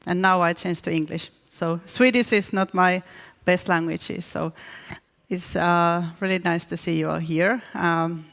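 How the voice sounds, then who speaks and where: Finnish woman with a flat, gravelly voice. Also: fairly low pitch, measured pace. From Finland. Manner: hesitant, with frequent restarts and fillers. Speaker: keynote speaker. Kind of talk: conference presentation. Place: conference hall. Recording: reverberant conference hall.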